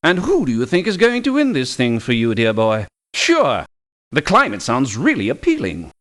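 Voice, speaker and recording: A man's voice: medium pitch, huge pitch swings, moderate pace, fairly bright tone. An actor playing a courteous but aloof male mercenary in a video game, speaking politely and formally with precise wording. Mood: politely refusing. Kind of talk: video game character voice lines.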